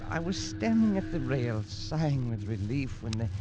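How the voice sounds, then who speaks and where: A man's voice: medium pitch, sing-song, moderate pace, lo-fi sound, lightly acted. An actor playing a haunted, short-tempered adventurer who tells his own story in an intense, theatrical old radio style. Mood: haunted, brooding. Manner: intense, theatrical, swinging from brooding to frantic. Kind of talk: 1940s-50s radio drama acting, first-person narration. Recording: old radio recording with music behind.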